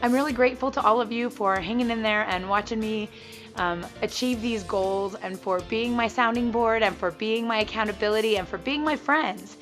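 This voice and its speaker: A woman in her thirties talks casually to her viewers, her voice gravelly and froggy as if she has laryngitis. She is upbeat and a little sappy, quick to laugh. Faint music plays underneath. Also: a little high, fairly bright tone. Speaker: weight-loss vlogger. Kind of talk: casual chat to camera.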